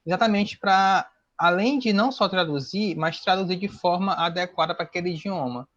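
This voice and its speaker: A man with a distinctly high voice and a Brazilian accent, talking in a loose, rambling, informal way. The online call audio is somewhat muffled and lo-fi. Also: moderate pace, a bit bright. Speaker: participant in an online discussion. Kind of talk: casual anecdote.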